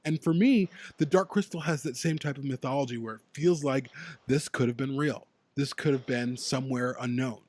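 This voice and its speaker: A young man co-hosting a casual fan podcast, chatting excitedly and laughing often. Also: mid-range voice, moderate pace. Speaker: podcast co-host. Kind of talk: casual chat between fans.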